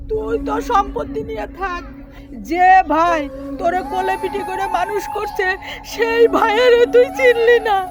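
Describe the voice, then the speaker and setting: A woman's voice: very high voice, steady tone, moderate pace, crowd noise. A woman with a Bangladeshi accent playing an anguished, loving middle-aged village mother in a melodramatic family drama. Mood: bitter reproach. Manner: pleading, emotional. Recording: loud background music over dialogue.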